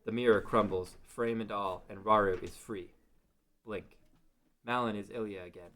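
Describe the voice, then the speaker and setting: Lowish-voiced man narrating a story. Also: steady tone, normal speed, a bit bright.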